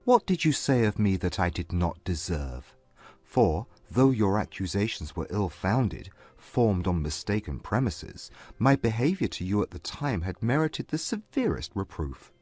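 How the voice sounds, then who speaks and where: A man playing a proud, contrite gentleman in love, speaking with formal intensity in a dramatized classic romance audiobook. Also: on the low side, big pitch swings, slightly rough, no echo, faint music behind, exaggerated voice. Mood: remorseful. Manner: formal, intense, measured period phrasing. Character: earnest, proud gentleman suitor, contrite and lovestruck.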